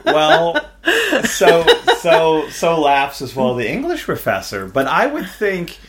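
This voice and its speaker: A man's voice: fairly high pitch, wildly swooping pitch, takes it slowly, creaky, a bit bright. A man hosting a casual podcast conversation, talking in a wry, rambling, self-deprecating way. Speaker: podcast host.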